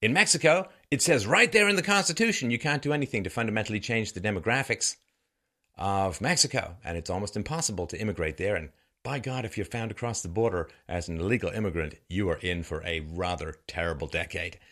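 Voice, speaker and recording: Man, slightly low-pitched, forceful bright tone, sarcastic commentary, theatrical emphasis, rhetorical. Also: animated, fast talker. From born in Ireland, grew up in England. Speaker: host of a political commentary show. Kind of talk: opinion commentary monologue.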